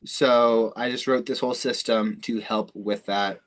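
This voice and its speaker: A man's voice: mid-range voice, steady tone, unhurried. A man hosting a self-improvement call, explaining things off the cuff in a rambling, informal way with frequent swearing. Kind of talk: casual, unscripted explanation on a call.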